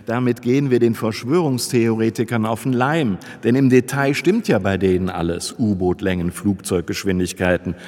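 An actor in a radio drama playing a pedantic researcher, dry, precise and matter-of-fact. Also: male voice, on the low side, normal speed, few pauses, darkish tone, close to an everyday voice.

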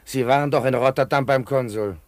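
An actor in a German radio play playing an astonished, sympathetic listener who interrupts with exclamations. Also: male voice, medium pitch, fairly quick, a little mellow, dry recording, natural voice. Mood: bewildered.

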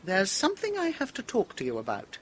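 A woman's voice: medium pitch, huge pitch swings, brisk, breathy edge. An actress playing a forthright, purposeful English lady, direct and polite, in a radio drama. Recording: outdoor scene with birdsong. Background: birdsong.

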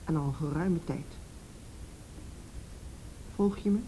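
A woman playing a reassuring youth officer in a radio play, measured, direct and patient. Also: warm tone, low-quality recording. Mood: calm, serious. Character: calm, firm, reassuring policewoman of the youth department. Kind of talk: Dutch radio play acting. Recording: faint hiss and low rumble.

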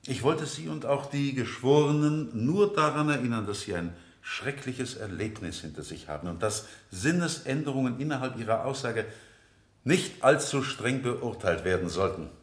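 An actor playing a relentless, insinuating cross-examining lawyer in a radio play, with formal, pointed, sardonic questioning. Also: male voice, medium pitch, lively intonation, moderate pace, close to an everyday voice. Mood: suavely insinuating. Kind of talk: 1970 German radio play acting. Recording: echoey vintage radio studio.

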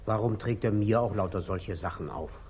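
An actor playing a humble, artful lay brother with a deferential, plaintive manner in 1940s German radio drama style. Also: male voice, lowish voice, normal speed, a little mellow, slight background noise, close to an everyday voice. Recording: old muffled 1940s radio recording.

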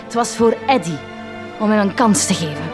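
Actress doing a fed-up flemish schoolteacher wife, sarcastic, really swoopy intonation, music under it, radio play. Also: female voice, mid-range voice, animated, normal speed, natural voice. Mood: bitter, resentful. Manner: sarcastic, resentful. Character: bitter, long-suffering village schoolteacher wife. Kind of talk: theatrical radio drama acting.

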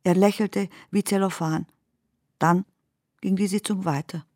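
A woman performing as the narrator of surreal, dreamlike poetic prose in a radio play, speaking in a measured, deliberate manner. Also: lowish voice, fairly even intonation, a little mellow, no echo, studio quality.

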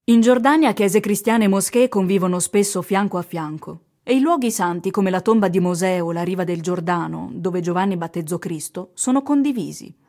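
Woman, mid-range pitch, measured literary narration, radio theatre recitation, evocative phrasing. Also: a little mellow. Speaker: reader in a radio theatre production. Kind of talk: dramatic literary narration, read from a script.

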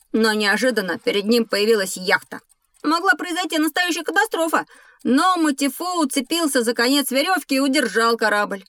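A high, boyish voice plays a curious, impulsive schoolboy in an educational audio play. The delivery is expressive and playful, full of exclamations. The sound is close and dry. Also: female voice, dry recording. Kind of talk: scripted educational audio drama.

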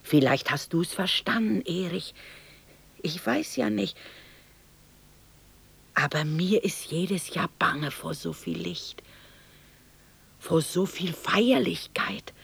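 An actress playing an aging, homesick widowed mother from Bohemia in a 1960s German radio drama monologue, reminiscing wistfully and anxiously in hushed, halting fragments. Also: on the low side, exaggerated voice. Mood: anxious, tender. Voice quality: husky, breathy, creaky.

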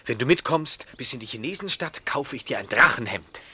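Bright, ardent young lover. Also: male voice, mid-range voice, lively intonation, brisk, slightly rough, heavily acted. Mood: ardent wooing. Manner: theatrical, lyrical, coaxing. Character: ardent, playful young male lover wooing his sweetheart. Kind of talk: literary German radio play.

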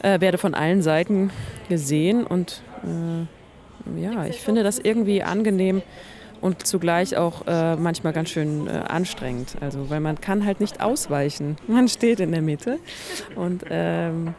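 A speaker reflecting casually and haltingly, thinking aloud. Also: mid-range voice, darkish tone.

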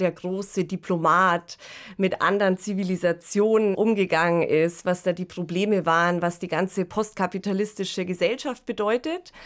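Woman co-hosting a conversational podcast. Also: mid-range voice. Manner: engaged, reflective, frequent tag questions. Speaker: podcast co-host; political scientist and science fiction analyst.

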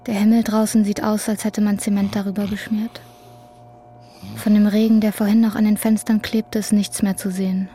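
Female narrator for literary radio drama: flat, restrained intonation, soft dark timbre, faint noise. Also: mid-range voice, normal speed.

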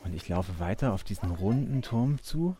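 A man describing what he sees as he walks, halting and repeating words as he searches for them. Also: lowish voice, animated, mellow. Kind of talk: spontaneous spoken description of surroundings while walking.

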